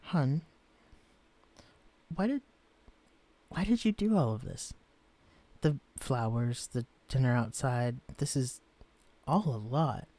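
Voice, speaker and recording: A man voicing a gentle, shy young man who has been shrunk to tiny size, speaking softly and stammering when flustered. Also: medium pitch, animated, takes it slowly, pauses often. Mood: bashful. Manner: soft-spoken, hesitant, stammering when flustered. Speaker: character in a listener-directed romance audio. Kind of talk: audio roleplay drama.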